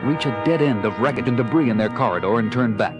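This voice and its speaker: A man's voice: medium pitch, animated, quick pace. Male storyteller, dramatic scripted narration, very varied intonation, prominent musical backing. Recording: loud music behind the voice.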